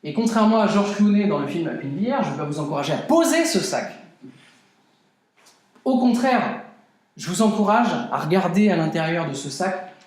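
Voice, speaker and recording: A man's voice: high-pitched, darkish tone. A man giving a motivational conference talk to a live audience, earnest and emphatic, repeating key phrases. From France and Brazil. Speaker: conference speaker. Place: conference hall. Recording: very echoey hall.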